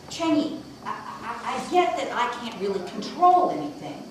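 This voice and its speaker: A woman playing an earnest, fretful, orderly little rabbit in an amateur puppet show. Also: high-pitched. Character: anxious, orderly, peace-loving little fluffy rabbit. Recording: echoey noisy room, far from mic.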